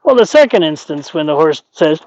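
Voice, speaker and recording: A man's voice: a little high, very animated, a little fast, darkish tone, dry recording, highs cut off. A man explaining horse training as he works with a young horse, in a folksy, rambling, plain-spoken way. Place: outdoors at a horse pen.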